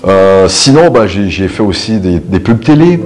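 A middle-aged man talking about himself in an interview, hesitating and pausing between short phrases. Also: sits low, very animated. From Canada. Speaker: videographer, photographer and musician being interviewed. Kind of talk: interview self-portrait. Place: indoor photo studio. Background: background music.

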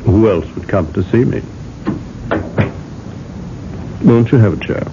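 An actor playing a mysterious, unhurried seller of eternal life in a radio drama, measured and gently amused. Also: male voice, fairly low pitch, expressive. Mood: calm, courteous. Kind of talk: 1980s radio drama acting. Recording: dull, hissy old radio recording.

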